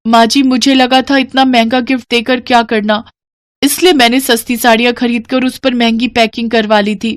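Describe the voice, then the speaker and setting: A woman voicing a young, thrifty daughter-in-law who speaks politely and respectfully, higher than her narrating voice. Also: little pitch movement, normal speed, no echo, natural voice. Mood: apologetic.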